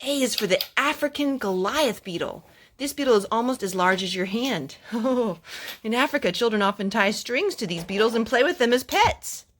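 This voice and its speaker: A woman's voice: on the high side, expressive, normal speed. A woman, a mother, doing a children's storytime, reading aloud and chatting with questions for the young listeners. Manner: asks the child questions and repeats answers back. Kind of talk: children's read-aloud storytime with chat and song.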